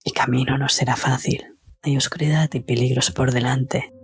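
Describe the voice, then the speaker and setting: A woman playing a wise, encouraging mystic guide in an audio drama, speaking formally and solemnly with a husky, breathy voice. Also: very deep voice, fairly even intonation, moderate pace, no room sound, exaggerated voice. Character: wise, encouraging little mystic girl guide named Minori. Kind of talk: audio fiction acting.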